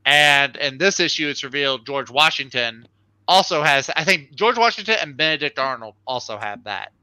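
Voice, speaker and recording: A man with a very bright, fairly high voice gives an informal, off-the-cuff review. He talks with open enthusiasm in a casual chat style, and the sound is close and dry. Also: lively intonation, normal speed, clear, resonant tone, no room sound. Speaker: comic book reviewer on a livestream show.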